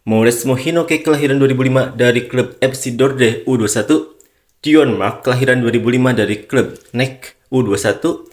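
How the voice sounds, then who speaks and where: A man in scripted narration, reading football news and online comments in an even, read-aloud way. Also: mid-range voice, moderate pace. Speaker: sports channel narrator.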